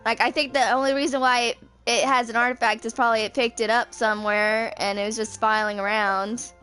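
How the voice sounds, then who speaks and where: A young woman in her twenties with a fairly high voice talks casually over a video game. She rambles, thinking aloud as she goes, with music playing behind her. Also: little pitch movement, fairly quick, fairly bright tone. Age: 20s. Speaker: gaming streamer. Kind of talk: casual gameplay commentary.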